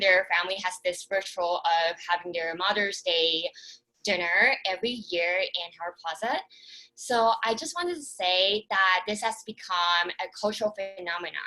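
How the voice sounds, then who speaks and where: A young woman from Taiwan co-hosts an interview in a bright, forward voice of medium pitch. She delivers prepared questions. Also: poor audio. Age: young adult. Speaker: co-host, intern.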